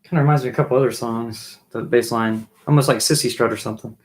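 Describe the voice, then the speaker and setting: A man in his thirties, hoarse from losing his voice, chatting casually on a live stream from a small home music room, musing and restarting his sentences. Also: mid-range voice, steady tone, fast talker, slight background noise. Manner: musing, trailing off with restarts while playing. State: losing his voice, throat clearing. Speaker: livestream host and bassist. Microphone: webcam mic at desk distance, wears headphones.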